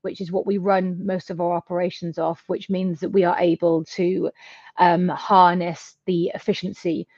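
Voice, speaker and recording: A woman's voice: lowish voice, level tone, normal speed, darkish tone, dry recording. A middle-aged woman from the UK speaking chattily as she explains things as an interview guest. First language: English. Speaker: interview guest, company founder and CEO. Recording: dull, slightly lo-fi audio.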